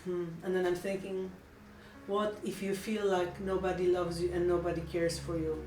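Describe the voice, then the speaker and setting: A middle-aged woman with a Polish accent, speaking in a gentle, earnest and reflective way in a heartfelt personal vlog. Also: on the low side, flat delivery, normal speed, reverberant, far from the mic, slight background noise, low-quality recording. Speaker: vlogger.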